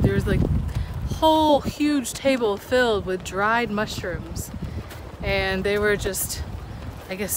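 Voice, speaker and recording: A woman's voice: fairly high pitch, noisy background. A woman in her thirties chatting casually to a phone held at arm's length while walking outside, in a rambling, hesitant, conversational way. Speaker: vlogger, mother. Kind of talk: casual outdoor vlog chat. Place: outdoors on breezy walkways and roadsides.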